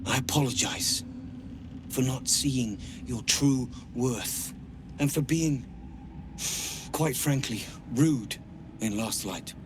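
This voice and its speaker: An actor playing a proud, ambitious gnome leader in a video game, speaking in a formal, declamatory way. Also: male voice, medium pitch, takes it slowly, pauses often, noisy background, natural voice. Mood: contrite. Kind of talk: video game character dialogue.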